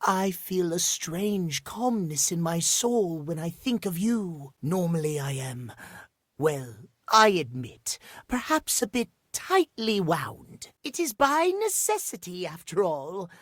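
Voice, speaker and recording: Husky, fastidious, courtly gentleman. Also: high-pitched, sing-song, no room sound, clean studio sound, full character voice. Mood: tender, earnest. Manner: formal, courtly, earnest, theatrical. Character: fastidious, tightly wound gentleman obsessed with timepieces. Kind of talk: video game character acting.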